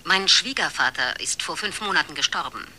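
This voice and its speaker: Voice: medium pitch, expressive, fairly quick, sharp tone, slightly rough, exaggerated voice. An actor playing a curt, formal relative answering the telephone in a radio drama.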